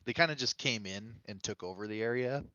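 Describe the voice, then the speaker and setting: A man's voice: fairly low pitch, fairly quick, bright, forceful tone, no echo, highs cut off. A man speaking as a guest on a casual gaming podcast, explaining things in an informal way.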